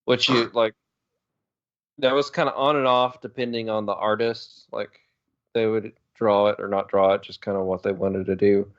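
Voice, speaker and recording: A man's voice: lowish voice, little pitch movement, moderate pace. A man co-hosting a podcast, chatting casually and a little hesitantly as he pieces answers together, on a slightly muffled mic. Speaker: podcast co-host. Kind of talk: casual chat.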